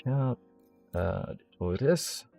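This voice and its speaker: A man's voice: mid-range voice, expressive, extremely slow, vocal fry, warm tone, soft background music. A man playing a video game and commentating casually, rambling and thinking aloud in a self-deprecating way. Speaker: streamer, game player.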